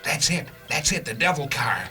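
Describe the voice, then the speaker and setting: Bright, terse commanding hunter played by a man. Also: on the high side, sing-song, normal speed, exaggerated voice. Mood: urgent, commanding. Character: Murdoch, tired, determined male hunter commanding his car. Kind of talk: dramatized science fiction story reading. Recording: music behind the voice.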